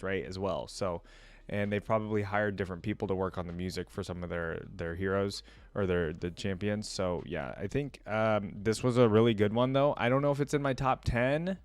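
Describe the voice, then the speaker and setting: A man with a fairly low voice records close and dry, with little room sound. He gives casual reaction commentary, thinking aloud and speaking straight to his viewers in a conversational way. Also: dry recording. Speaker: reaction video host.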